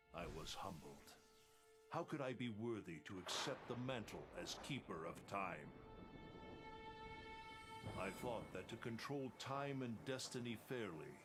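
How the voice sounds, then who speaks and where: An actor playing a wise, humbled god in a video game, delivering a grave, formal, contemplative monologue. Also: male voice, fairly low pitch. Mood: humbled, regretful. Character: wise, humbled male thunder god, solemn. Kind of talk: dramatic game ending monologue. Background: music.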